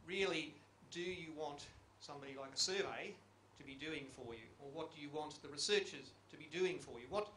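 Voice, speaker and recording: A man's voice: on the high side, a little fast, hardly any pauses, sharp tone. A middle-aged man on a conference panel speaking into a handheld mic, reflective and putting questions to the audience. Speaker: geological survey panelist. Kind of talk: panel discussion remarks. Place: conference room. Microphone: handheld microphone. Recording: echoey conference room, faint hiss.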